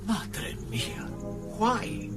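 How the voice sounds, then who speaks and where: An actor playing a compassionate priest in a radio drama, gentle and grave. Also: male voice, very slow, over music. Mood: shocked sorrow.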